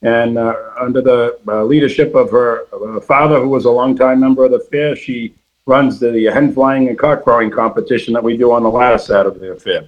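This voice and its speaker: A slightly hoarse man being interviewed as an exhibit organizer, explaining things informally. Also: medium pitch, steady tone, fairly quick, phone-quality sound. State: a little hoarse.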